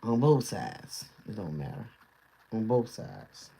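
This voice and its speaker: A man casually chatting on a livestream in a loose, rambling way, his voice going hoarse. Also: lowish voice, big pitch swings, slow, warm tone. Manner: loose, rambling talk with easy laughs. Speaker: livestream host.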